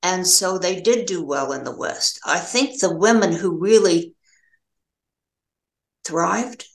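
An older American woman giving reflective interview answers as a novelist, in a halting, thoughtful way with pauses mid-phrase. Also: on the low side, vocal fry, echoey room.

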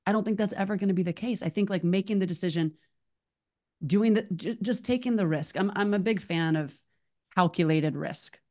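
A woman's voice: mid-range voice, brisk, a little mellow. A woman hosting a podcast, chatting casually and rambling off on tangents. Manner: chatty, rambling, self-described tangent talker. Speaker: podcast host.

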